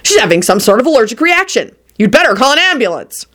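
A woman voicing a loud, brisk, bossy woman with a screechy manner in a story. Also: fairly high pitch, big pitch swings, voice pushed up. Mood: loud, unbothered.

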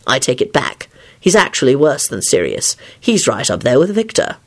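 A woman's voice: rumbling low, big pitch swings, normal speed, breathy edge, voice pushed down, full character voice. A woman voicing a grouchy, sarcastic, exasperated man with a dry, snarling, resigned manner in a storybook reading. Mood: dry, sardonic.

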